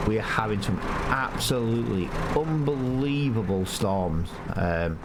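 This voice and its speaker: A sniffly man with a lowish voice rambles through casual gameplay commentary, talking to his viewers as guys. Loud engine and vehicle noise from the game rumbles behind him. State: sniffly with hay fever. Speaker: gaming YouTuber. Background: farming game engine and vehicle sounds.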